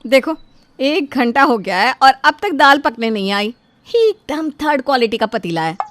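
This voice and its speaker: A woman puts on a haughty elder daughter-in-law for an animated moral tale. She speaks in a fairly high voice with boastful, scornful, theatrical delivery, always ready to bicker. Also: moderate pace, dry recording. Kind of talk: animated Hindi moral story dubbing.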